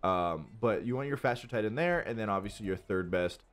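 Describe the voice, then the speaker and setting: A young adult man, stuffy and nasal from allergies, excitedly rambling through a game strategy tutorial. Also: lowish voice, fairly quick. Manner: hyped, rambling, Southern-tinged slang. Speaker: gaming YouTuber. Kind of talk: casual game tutorial commentary.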